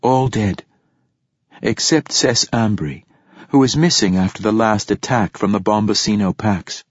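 A man voicing a battle-worn woman rebel soldier in a storybook, grave, deliberate and defiant. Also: on the low side, no room sound, dull audio. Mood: grieving.

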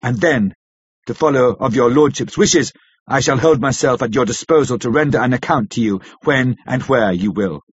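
A man voicing a grave, elderly foreign professor in an audiobook, stern yet compassionate, speaking in solemn, halting, formal phrases. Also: medium pitch, husky, highs cut off, close to an everyday voice. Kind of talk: dramatic audiobook character reading.

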